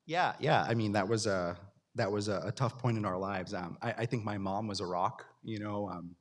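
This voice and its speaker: A man's voice: fairly low pitch, moderate pace. A man speaking informally as a guest at a talk, reflective and somewhat hesitant as he shares personal experience.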